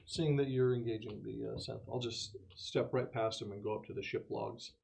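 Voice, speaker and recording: A man's voice: lowish voice, steady tone, normal speed, vocal fry, a little mellow, slight background noise. A man talking casually as a player at a tabletop roleplaying game.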